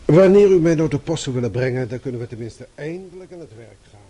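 A man's voice: a little high, lively intonation, fast, dark tone, slightly rough. A man acting in a radio play as a stern, middle-aged boss who speaks formally with dry sarcasm. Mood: sardonic. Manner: formal, clipped, dryly sarcastic. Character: stern, sardonic middle-aged male boss, fatherly and disapproving.

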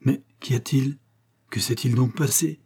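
A man's voice: mid-range voice, fairly even intonation, measured pace, warm tone, breathy edge. A man playing a gullible, impassioned nobleman who exclaims and pleads fervently in a comic audiobook. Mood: bewildered. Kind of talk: comic literary audiobook dialogue.

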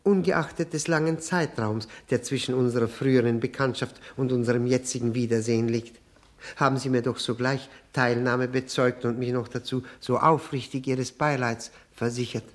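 Man acting a hesitant, overly polite widowed gentleman. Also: mid-range voice, steady tone, lightly acted. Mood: mournful. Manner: halting, overly polite, apologetic. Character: hesitant, deferential middle-aged widowed Russian gentleman, evasive and insinuating. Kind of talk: German radio play acting.

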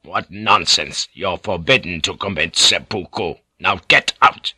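A man's voice: low voice, measured pace, bright, forceful tone, slightly rough, dry recording, clean studio sound, exaggerated voice. A man voicing an imperious, scornful feudal lord in an audiobook, stern and commanding. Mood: disgusted, commanding.

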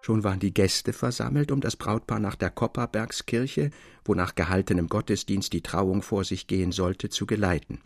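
A man reading a literary tale as an expressive audiobook narrator. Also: fairly low pitch, creaky, husky, no echo, studio quality. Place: dry studio.

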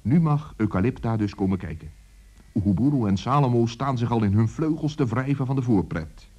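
An actor as the narrator of a children's radio play, telling the tale as scripted storytelling. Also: male voice, mid-range voice, animated, fairly quick, mellow, breathy edge, dull audio. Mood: calm, storytelling.

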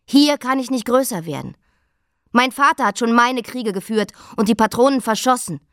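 A woman's voice: fairly high pitch, expressive, normal speed, a bit bright, no echo. Proud queen, very dry sound. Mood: proud, musing. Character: proud, imperious, cutting queen. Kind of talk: radio drama acting.

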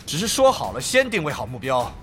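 An actor playing a scheming, hot-tempered guild leader in a Chinese audio drama about an online game, argumentative and calculating. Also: male voice, mid-range voice, huge pitch swings, brisk, a bit bright, a little hiss or hum. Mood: testy, defensive.

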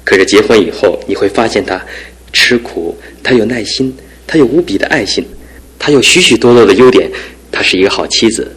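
A young husband in a radio play, speaking to his mother with quiet sincerity. His voice sits low and husky, reflective and grateful as he recovers from an injury. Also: animated, fairly quick, exaggerated voice, low-quality recording. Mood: earnest. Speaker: son and husband. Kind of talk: radio drama acting.

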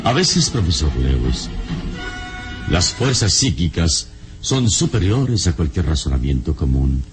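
A man playing a calm, wise hero in a classic radio drama, speaking in a solemn, philosophical, measured way. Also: music in the background. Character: calm, wise male hero who investigates spirits. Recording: old radio recording, clear hiss and rumble.

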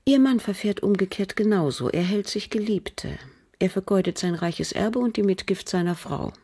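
An actress playing a bitter, cold aristocratic French widow in a radio crime drama, speaking formally and guardedly with a husky, breathy voice. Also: female voice, medium pitch, mellow. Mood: scornful. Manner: measured, guarded, formal, with pauses. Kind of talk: German radio drama acting.

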